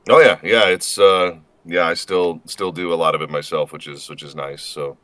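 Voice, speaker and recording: A man's voice: low voice, fairly even intonation, moderate pace, fairly bright tone, dry recording. An American man from Indiana, a voice actor chatting casually as a guest in a self-deprecating, slightly hesitant way. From Indiana, United States. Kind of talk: casual chat.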